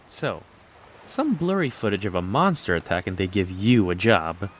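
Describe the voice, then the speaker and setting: Frank, friendly young male character, acted by a man, lowish animated voice, faint noise behind. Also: moderate pace. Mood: skeptical. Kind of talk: audio drama character acting.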